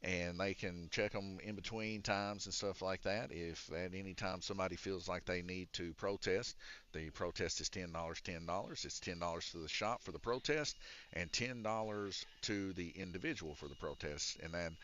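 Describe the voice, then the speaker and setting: Deep raspy man calling RC races. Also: moderate pace, a bit bright, muffled recording. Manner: rambling and explanatory, with many "uh" fillers. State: congested from a chest cold. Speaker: race announcer and organizer. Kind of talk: casual live-stream commentary. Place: indoor RC raceway shop. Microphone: wired or wireless handheld mic.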